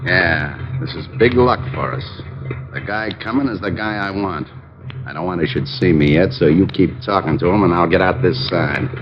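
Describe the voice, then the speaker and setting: A man's voice: low voice, normal speed, natural voice. An actor playing a menacing gangster in an old radio crime drama, mock-friendly and cruelly playful in slangy tough-guy talk. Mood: scheming. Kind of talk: 1940s-50s radio crime acting. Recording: muffled, noisy old radio recording.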